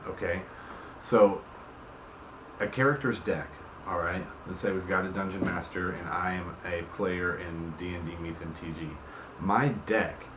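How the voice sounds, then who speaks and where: A man giving an informal explanation as host, often checking in with the listener with small tag questions. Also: low voice. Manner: checks in often with "okay", "right", "you see what I'm saying". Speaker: host, game creator explaining rules. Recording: roomy echo, clear background noise.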